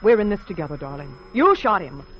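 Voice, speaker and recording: An actress playing a scheming, theatrical femme fatale widow in a 1940s radio detective drama. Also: female voice, lowish voice, sing-song, fast, slightly rough. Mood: cooing, mock devoted. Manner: theatrical, breathless cooing and lamenting. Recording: muffled, faintly noisy 1940s radio recording.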